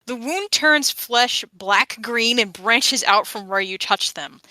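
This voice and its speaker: A woman's voice: fairly high pitch, expressive, slow pace, bright, forceful tone, no echo, poor audio. A woman playing a tabletop game, casually and playfully describing her character's moves in slangy, vivid terms.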